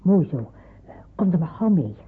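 An actress playing a motherly, discreet wartime woman in a radio drama, speaking in a kindly, hesitant, hushed way. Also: fairly low pitch, big pitch swings, moderate pace, slight background noise. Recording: muffled, phone-like old radio drama recording.

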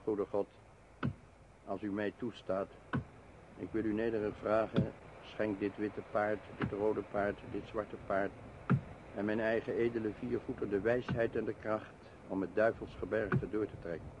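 An actor playing a devout, cautious mountain guide in a radio play, speaking in an earnest, formal way. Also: male voice, fairly low pitch, little pitch movement, measured pace. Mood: humble, prayerful. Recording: muffled noisy old radio recording.

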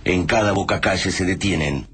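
Male narrator for radio drama: very low register, grave scripted delivery, noisy atmospheric backing. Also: fairly bright tone. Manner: solemn, deliberate.

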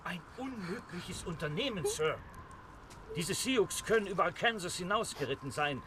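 An actor playing a stern, duty-bound army captain commanding a fort in an audio drama, firm and formal. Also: male voice, high-pitched, expressive, normal speed, bright, forceful tone, a little hiss or hum. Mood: stern, refusing.

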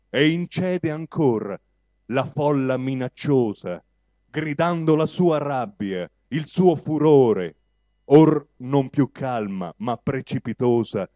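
Guy presenting poetry, slow and measured, mellow voice, muffled lo-fi live recording. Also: mid-range voice, no echo. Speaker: poetry reader at a public reading event. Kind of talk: spoken introduction and declaimed recitation of poetry. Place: live reading at a public venue. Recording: dull, lo-fi live event recording.

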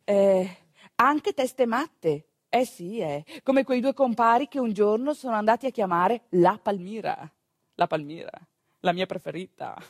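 A woman with a northeastern Italian accent performing a theatrical storytelling monologue in a conversational, wry, expressive manner. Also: medium pitch, a little slow, husky, no echo, clean studio sound. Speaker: stage actress, storyteller.

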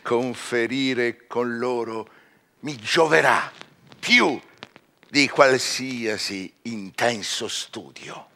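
An actor playing a proud scholar hungry for magical power in a verse drama, grandiose and declamatory, swinging between murmurs and swelling phrases. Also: male voice, medium pitch, drawn-out words, pushed and bright, full character voice. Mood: commanding. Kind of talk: theatrical verse radio drama.

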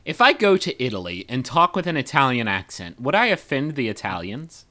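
Bright, animated man bantering on comedy podcast. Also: mid-range voice, fairly quick. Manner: mock-exasperated, blunt. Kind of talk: casual comedic banter, reading listener submissions.

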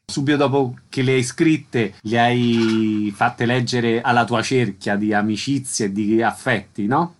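Mid-pitched male radio interviewer. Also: fairly even intonation, a little slow, hardly any pauses. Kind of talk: radio interview, measured questions.

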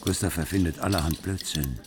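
An actor narrating a literary radio play as a storyteller. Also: male voice, low voice, brisk, noisy background.

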